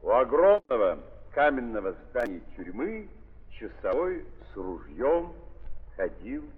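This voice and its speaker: A man's voice: medium pitch, very animated, a little slow, husky. Theatrical man narrating, tinny old recording, sweeping intonation. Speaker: narrator of a stage-based radio play. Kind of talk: 1930s theatrical literary narration. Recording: scratchy, tinny 1930s archive recording with hiss.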